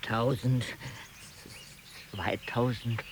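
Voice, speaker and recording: An actor playing a stubborn young man who struggles to speak, stammering and halting, in a radio drama. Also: medium pitch, level tone, background noise. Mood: hesitant.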